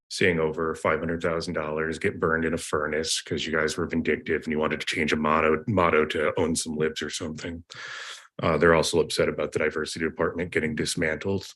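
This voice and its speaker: A man with a hoarse, deep voice that still comes out forceful and sharp, speaking at a meeting podium. He rambles through a sarcastic story with fillers and restarts. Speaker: public commenter. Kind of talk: public comment at a meeting. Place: county committee meeting room. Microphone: podium microphone.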